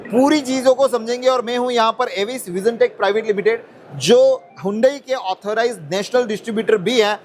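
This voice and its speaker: Guy with a high voice hosting a product interview, chatty explainer, mixes in english phrases. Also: normal speed. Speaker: host interviewing a guest.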